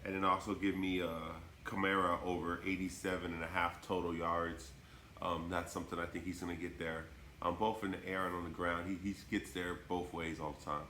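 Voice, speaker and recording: A very deep-voiced man with a stuffy, congested voice talks football in a laid-back way. His voice is bright and forceful. It rings in an echoey room with a faint hum behind. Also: flat delivery, brisk. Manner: laid-back, lots of "you know" and "um". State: congested from a cold. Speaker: podcast co-host. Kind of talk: casual sports podcast chat.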